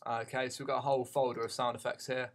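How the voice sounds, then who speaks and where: A man giving an unscripted tutorial as a teacher, haltingly thinking aloud as he goes. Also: mid-range voice, level tone.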